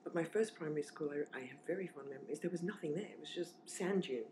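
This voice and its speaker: A woman's voice: low voice, fast, dark tone, a little hiss or hum. A woman in her forties from southern Africa, talking casually and reflectively as an interview guest, with plenty of fillers and restarts. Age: 40s.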